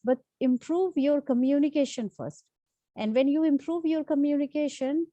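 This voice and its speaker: Indian woman hosting a tips video, high voice, lots of ums and restarts. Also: darkish tone, dry recording, highs cut off. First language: Hindi and Urdu. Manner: unscripted, many fillers and restarts. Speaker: channel host giving tips. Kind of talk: informal talk-to-camera lesson.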